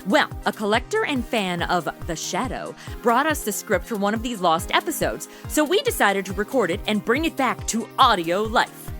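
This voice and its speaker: A woman's voice: medium pitch, sing-song, moderate pace, hardly any pauses, a bit bright, faint music behind. A woman hosting a podcast, reading an upbeat scripted introduction to listeners. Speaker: podcast host.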